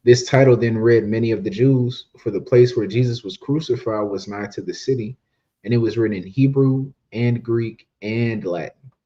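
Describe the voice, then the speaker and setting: A man's voice: mid-range voice, moderate pace, mellow, muffled recording. A man co-teaching a bible study, explaining history at length from his notes. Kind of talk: casual teaching talk.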